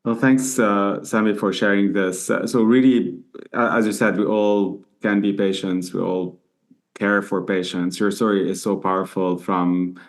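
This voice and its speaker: A man with a low, steady voice hosts a podcast interview. He talks in a measured way and often pauses on fillers. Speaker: podcast host.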